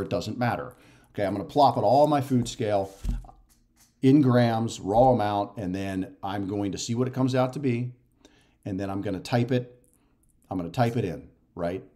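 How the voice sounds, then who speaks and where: A man's voice: on the low side, warm tone. A man in his forties giving a casual instructional talk to camera, going step by step and often checking in with "okay?" or "right?". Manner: repetitive step-by-step explaining with frequent "okay?" and "right?" check-ins. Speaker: fitness and nutrition coach. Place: living room at home.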